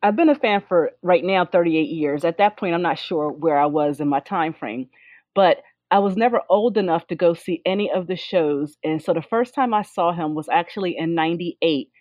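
A woman speaking as a guest in a casual roundtable and telling her story in an animated, chatty way. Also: fairly low pitch, moderate pace, no echo, dull audio. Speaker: panel guest.

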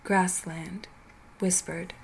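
A woman reading a scripted audio story in a measured storytelling manner, softening on lines of dialogue. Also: fairly low pitch, flat delivery, creaky, husky. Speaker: fiction narrator. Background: music under the voice.

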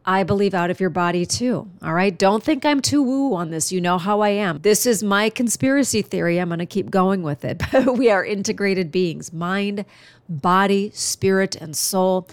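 A woman's voice: mid-range voice, no echo. A woman hosting her own podcast, talking earnestly and chattily to listeners while noticeably congested. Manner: earnest, chatty, warm-hearted encouragement. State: congested, stuffy nose. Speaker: podcast host. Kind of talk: solo podcast monologue.

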